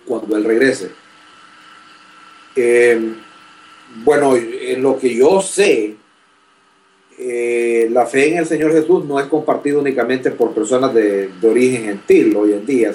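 A young man speaks politely and formally, pausing often with fillers. His voice sits mid-pitch and sounds a little distant from the mic. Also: a little mellow. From Honduras. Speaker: graduate student, first-time participant. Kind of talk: asking a question in an online chat.

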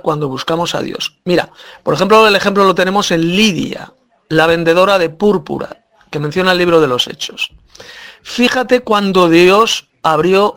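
An earnest man teaches a Bible lesson step by step. His voice sits high for a man. He explains carefully and with emphasis. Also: a bit bright. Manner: earnest, instructive.

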